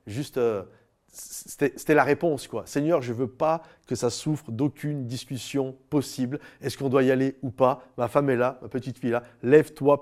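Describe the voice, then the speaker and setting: A man's voice: mid-range voice, a little mellow. A man from France, speaking as an informal preacher and storyteller, emphatic, stretching key words and addressing listeners directly. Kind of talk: informal devotional talk with personal storytelling.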